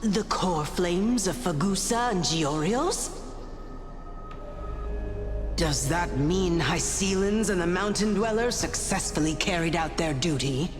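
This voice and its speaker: A woman's voice: fairly low pitch, lively intonation, takes it slowly. A woman voicing a stately ancestral spirit in a video game, formal, grand and solemn. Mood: regal, wondering. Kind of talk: video game character acting. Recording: echoey hall reverb, music behind.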